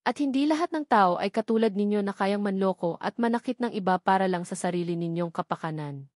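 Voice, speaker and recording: Casting: defiant young heroine of a romantic melodrama, woman narrator reading her indignant and forceful. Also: mid-range voice, animated, fairly quick, dry recording, studio quality, natural voice. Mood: angry, defiant. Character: wronged, defiant young woman, a proud cook.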